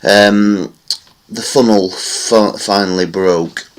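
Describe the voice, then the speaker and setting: A man's voice: lowish voice, fairly even intonation, slow pace. A man giving casual, rambling commentary on silver price charts, with many fillers. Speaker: market analysis vlogger.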